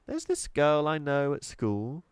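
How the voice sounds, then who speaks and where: A man's voice: fairly high pitch, wildly swooping pitch, a little slow, clean tone, no room sound, voice pushed up. A man voicing a nervous young schoolgirl who stammers and hesitates, in a dry recording. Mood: nervous girlish.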